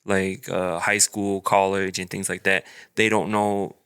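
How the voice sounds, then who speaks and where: Low bright-toned man hosting casually. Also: level tone, unhurried, clear, resonant tone. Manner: rambling, filler-heavy, friendly. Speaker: podcast host. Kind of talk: casual interview chat.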